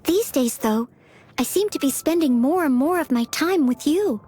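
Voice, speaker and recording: A woman's voice: high-pitched, animated, moderate pace, warm tone. A woman voicing a polite, self-effacing young maid and aspiring knight in a video game, eager to please. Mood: humble, devoted. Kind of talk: video game character voice lines.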